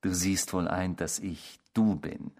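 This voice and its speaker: An actor in a radio drama playing a sardonic, tormenting inner voice, with a husky, mocking and theatrical delivery. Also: male voice, sits low, fairly even intonation, takes it slowly, darkish tone. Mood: menacing. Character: sardonic tormenting inner voice claiming to be a man's destructive self.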